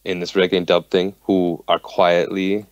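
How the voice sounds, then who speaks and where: A man who makes reggae rhythms, talking passionately and fast in a casual radio discussion. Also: low voice, little pitch movement, no echo, dull audio. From United States. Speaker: reggae producer and musician.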